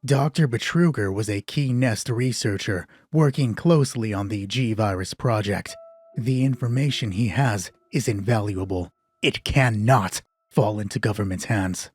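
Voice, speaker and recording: A cold, authoritative corporate commander, played by a man, briefs a squad in a game-style story. His voice is mellow and husky, his manner clipped and formal, and the sound is dry. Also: lowish voice, measured pace, no room sound. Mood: cold, stern.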